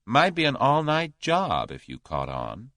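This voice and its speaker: A man's voice: medium pitch, wildly swooping pitch, a little slow, fairly bright tone, no echo, pitched above the performer's own voice. A man voicing a brash, confident American millionaire in an audiobook story, with an American accent and a dry, abrupt drawl.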